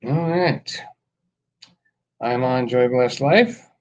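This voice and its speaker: A man's voice: mid-range voice, very slow, highs cut off. A man co-hosting a casual live-stream chat, telling jokes in a playful storyteller's manner.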